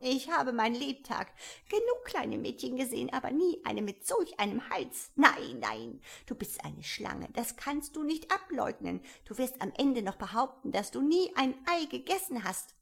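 A woman's voice: high voice, big pitch swings, a little slow, gravelly, few pauses, pitched above the performer's own voice, exaggerated voice. A woman voicing a suspicious, frantic mother pigeon who shrieks and scorns. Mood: scornful.

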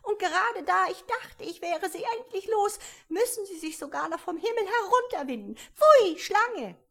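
A woman playing a shrill, frantic mother pigeon. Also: lively intonation, moderate pace, vocal fry. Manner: shrieking and scornful.

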